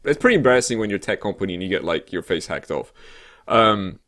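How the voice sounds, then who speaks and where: A man with a very low voice that moves around in an animated way. He chats casually as a co-host of a gaming podcast. His delivery rambles and halts, with a joking edge. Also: fast, slight background noise. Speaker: co-host of a weekly gaming news show. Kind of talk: casual unscripted podcast chat.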